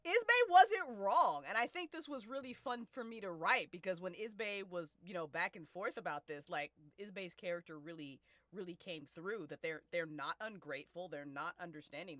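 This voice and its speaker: A woman's voice: on the low side, fairly bright tone, no room sound. A woman hosting a casual discussion of a fiction story, speaking expressively in slangy, profane language. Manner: prone to laughing as they talk. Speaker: host and writer of a fiction podcast. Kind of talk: casual discussion of an audio drama.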